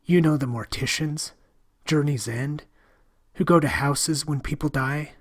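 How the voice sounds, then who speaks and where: Storybook figure: man doing a scared young woman, higher, husky, breathless whispers. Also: unhurried. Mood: frightened. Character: timid frightened young woman hiding in a store. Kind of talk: storybook reading.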